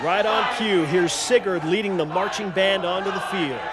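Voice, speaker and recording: A man's voice: high-pitched, fairly even intonation, moderate pace, lightly acted. A man playing a wry stadium announcer. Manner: wry, sarcastic asides. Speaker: sports announcer. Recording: loud stadium crowd noise.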